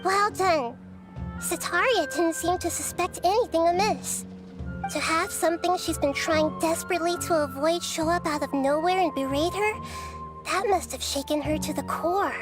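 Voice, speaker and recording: A woman's voice: extremely high pitch, normal speed. A woman voicing a small, wise child goddess in a video game, composed and bookish in manner. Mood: thoughtful, pleased. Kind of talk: video game dialogue acting. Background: game music.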